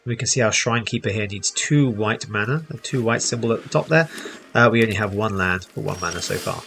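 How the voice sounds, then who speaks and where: A man's voice: on the low side, brisk, creaky, fairly bright tone, a little hiss or hum. A man hosting a casual game tutorial, explaining each step as he plays. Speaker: host, gaming teacher. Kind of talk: casual tutorial commentary over gameplay.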